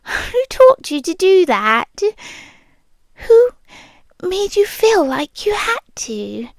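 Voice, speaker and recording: A British woman playing a possessive, lovesick stalker girlfriend in an intimate ASMR roleplay, breathy and often whispering, with lingering pauses. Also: high-pitched, no room sound, clean studio sound, full character voice. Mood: protective, intense. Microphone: close microphone, dry.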